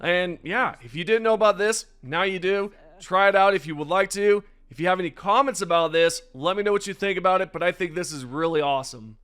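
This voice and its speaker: A young man hosting a gaming news video, chatty and enthusiastic, his voice slightly raspy today. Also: high-pitched, brisk, a bit bright. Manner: enthusiastic, chatty, addresses viewers directly. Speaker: gaming channel host. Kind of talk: casual gaming news commentary over gameplay.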